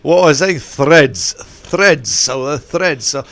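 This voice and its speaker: A man's voice: a little high, takes it slowly, a bit bright. A man in his forties who grew up in Eswatini and works as a football coach, talking in a rambling, digressive way with many restarts. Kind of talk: rambling podcast monologue.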